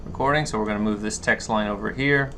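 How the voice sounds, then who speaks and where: A man giving an unscripted software walkthrough, thinking aloud and haltingly explaining each step as he goes. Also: on the low side. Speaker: tutorial instructor. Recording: noticeable background noise.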